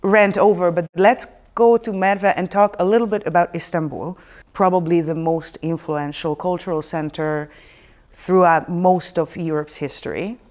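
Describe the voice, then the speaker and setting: A woman with a slightly low voice hosts a relaxed panel conversation. She is wry and lively, throwing in jokes between her questions. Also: moderate pace. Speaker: podcast host.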